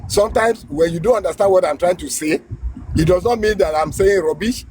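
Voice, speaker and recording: A man's voice: high voice, fairly quick, noisy background. A Nigerian man telling a story casually about studying abroad, repeating phrases and laughing at himself. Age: adult graduate student. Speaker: guest.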